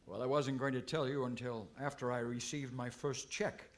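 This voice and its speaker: An actor playing a vain, easily flustered cowboy hero in an old time radio comedy. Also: male voice, medium pitch, fairly even intonation, normal speed, natural voice. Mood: relieved, wry.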